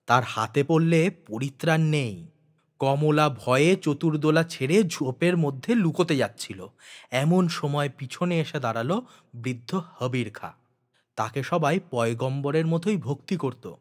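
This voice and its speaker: A man narrating a literary audio story with expressive, deliberate, dramatic phrasing. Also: fairly high pitch.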